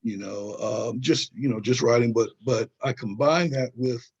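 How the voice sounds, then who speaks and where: An older man with a husky edge to his voice, recorded on a dull, lo-fi mic. He tells history in long, digressive stretches and stresses his points as he goes. He pulls the listener along with rhetorical questions. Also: medium pitch, moderate pace. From Southern California. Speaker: guest, historian and author. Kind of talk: interview conversation.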